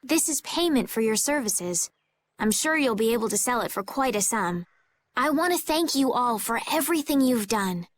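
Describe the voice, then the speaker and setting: A woman voicing a gentle, determined young noblewoman in video game dialogue, formal and courteous. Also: fairly high pitch, lively intonation, normal speed, close to an everyday voice. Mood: polite, resolved.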